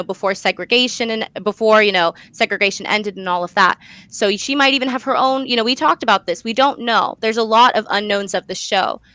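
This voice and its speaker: A woman's voice: fairly high pitch, sharp tone, no room sound. A young woman talking as an interview guest about her creative work. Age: young adult. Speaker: guest, playwright.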